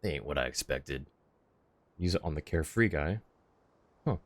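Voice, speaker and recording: Deep-voiced streamer chatting over gameplay. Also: male voice, lively intonation, moderate pace, dry recording. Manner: casual, chatty, reacting to the game. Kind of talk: live gameplay commentary.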